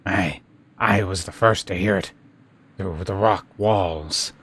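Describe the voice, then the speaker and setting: A man playing a solemn, reverent sculptor in a fantasy video game, speaking huskily and in a measured way. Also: low voice, fairly bright tone, breathy edge, heavily acted. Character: reverent, secretive sculptor devoted to a crystal goddess.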